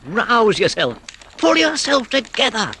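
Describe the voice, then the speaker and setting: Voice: medium pitch, very animated, a little slow, bright, forceful tone, voice pushed up. A reader doing a hearty take-charge rat. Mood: rousing. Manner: hearty, commanding. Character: brisk, kindly male water rat, take-charge. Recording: noisy background hiss.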